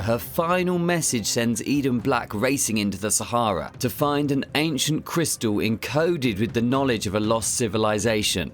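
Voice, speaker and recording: A man hosting a podcast, moving between scripted narration and interview talk as an adventure novelist. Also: medium pitch.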